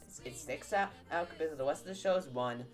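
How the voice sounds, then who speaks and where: A woman's voice: low voice, brisk. A woman hosting a casual countdown video, often repeating words and restarting her sentences, with music playing behind her. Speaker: YouTube list-video host.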